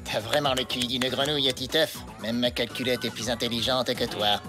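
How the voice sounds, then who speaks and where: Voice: medium pitch, fairly even intonation, moderate pace, loud music behind the voice, natural voice. An actor playing a haughty, exasperated brainy schoolboy in a French cartoon, pedantic and dismissive. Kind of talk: French animated cartoon acting.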